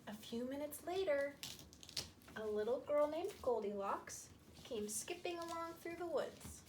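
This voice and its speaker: A woman with a high voice reads a story for small children. She speaks slowly, repeating and stressing simple phrases so little listeners can follow. There is some noise behind her. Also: animated, a little mellow. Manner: slow, repetitive, emphatic, explaining to small children. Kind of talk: story time for young children, narrating with puppets.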